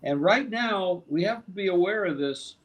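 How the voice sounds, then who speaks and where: High-voiced man giving betting picks. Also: expressive, moderate pace, poor audio. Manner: hesitant, laughs as he talks. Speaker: betting handicapper. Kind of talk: sports betting analysis.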